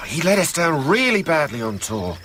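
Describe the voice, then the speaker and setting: An actor playing an aggrieved comedy-troupe member in a radio drama, complaining bluntly in a naturalistic way. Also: male voice, fairly high pitch, very animated, normal speed, background noise.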